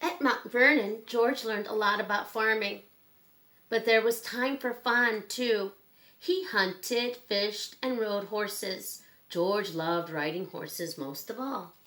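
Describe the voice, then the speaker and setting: A woman reading a children's book aloud in a simple, measured storytelling manner with pauses. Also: mid-range voice, a bit bright.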